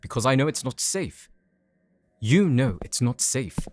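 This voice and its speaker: A man's voice: mid-range voice, sing-song, unhurried, dry recording, studio quality. An actor playing a nervous, exasperated male researcher in an audio drama, anxious and pleading but polite. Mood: uneasy.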